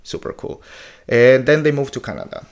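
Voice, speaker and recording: A man's voice: mid-range voice, expressive, moderate pace. A man in his forties recapping a podcast episode in a casual, blunt, opinionated way, swearing often. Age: 40s.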